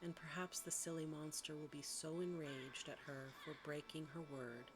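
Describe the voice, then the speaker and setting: A woman's voice: sits low, fairly even intonation, moderate pace, music in the background, natural voice. A woman voicing a spiteful, envious young elder sister in a bedtime storybook, sly and resentful. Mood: scheming.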